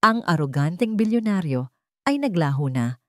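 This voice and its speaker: A woman with a Filipino accent narrating a scripted romance story in a measured, emotive, dramatic way. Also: lowish voice, big pitch swings, no echo, clean studio sound.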